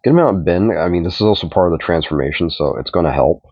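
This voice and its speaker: A middle-aged man with a stuffed-up voice, casually reviewing a toy in a relaxed, rambling way. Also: low-pitched, quick pace, slightly rough, no echo. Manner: relaxed, rambling, repeats himself while handling the figure. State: stuffed up from a cold. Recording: dull, dry home recording.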